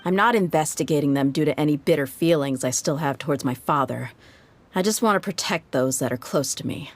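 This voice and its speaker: A low-voiced frank mercenary. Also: female voice, brisk. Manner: frank, laid-back. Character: tough, easygoing female mercenary leader. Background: faint game music.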